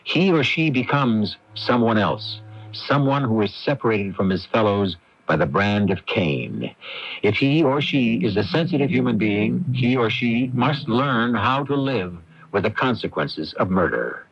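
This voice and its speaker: Man, radio mystery host, lowish voice, solemn dramatic narration, muffled lo-fi tape. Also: expressive. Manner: solemn, measured. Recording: dull lo-fi radio broadcast tape.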